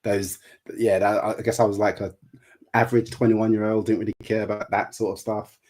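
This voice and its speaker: A middle-aged therapist and life coach answering interview questions, speaking with a stutter that makes him repeat words and sounds. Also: male voice, fairly low pitch, little pitch movement, fairly quick, darkish tone.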